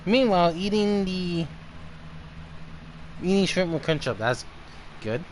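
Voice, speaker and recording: A man's voice: sits high, expressive, measured pace. A man doing casual livestream commentary as he plays a game, with some noise behind him. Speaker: game streamer.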